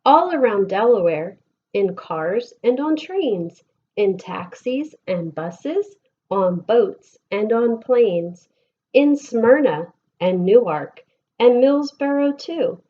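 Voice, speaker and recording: American female storybook reader, very unhurried, expressive phrasing, slightly muffled lo-fi recording. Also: mid-range voice. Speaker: elementary school teacher reading aloud. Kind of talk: picture-book read-aloud for children.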